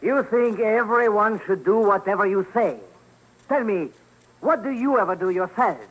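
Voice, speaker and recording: An actor playing a patient, wry Frenchman in a radio drama, deliberate and indulgent in manner. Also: sits high, phone-quality sound. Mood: wry, grumbling. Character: patient, wry French brother-in-law climbing mountains.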